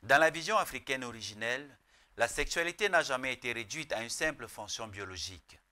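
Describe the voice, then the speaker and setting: A male programme host with a bright, projecting voice at an average pitch. He reads a scripted broadcast introduction formally, setting out each phrase with solemn deliberation. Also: lively intonation, fairly quick.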